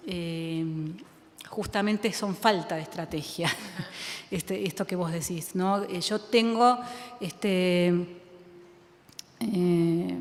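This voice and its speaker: A woman writer from Argentina with an Argentine accent, speaking reflectively in a public conversation, thinking aloud with many fillers and restarts and frequent laughter. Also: mid-range voice. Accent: Argentine Spanish. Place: school auditorium with audience. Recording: reverberant hall.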